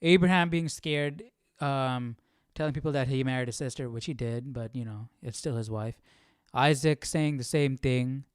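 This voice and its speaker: A man's voice: mid-range voice, moderate pace, clean tone, no room sound, studio quality. A man hosting a Bible study, reading aloud and commenting casually as he thinks aloud. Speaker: host of a live Bible study.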